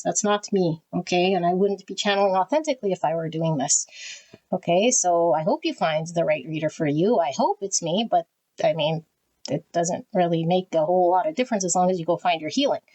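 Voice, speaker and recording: A woman giving a tarot reading to her viewers, talking frankly and often tagging "okay?" onto her sentences, with her voice swinging high and low. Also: a little mellow, rough recording. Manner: pitch swings high and low as she talks. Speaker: tarot reader. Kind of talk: casual direct-to-camera tarot reading.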